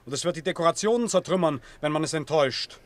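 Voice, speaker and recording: An actor playing a practical, insistent Roman arena official in a German radio play, pressing and matter-of-fact. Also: male voice, a little high, expressive, fast, close to an everyday voice.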